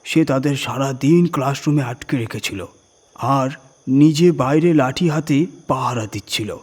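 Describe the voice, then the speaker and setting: A man voicing a guilt-ridden male head clerk, halting and apologetic, confessing a dark secret in a horror story. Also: medium pitch. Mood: grave, confessing. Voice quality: husky, trembling voice.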